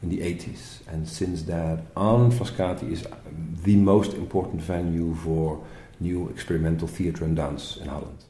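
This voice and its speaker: A man representing a theater venue, explaining its history in an interview with halting pauses and fillers. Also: deep voice, steady tone, dark tone, echoey room.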